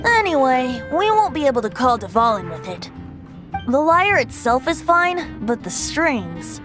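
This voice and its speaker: A woman's voice: high-pitched, sing-song, a little slow, over music. A woman playing a playful, theatrical young male bard in a video game. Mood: thoughtful. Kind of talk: video game character acting.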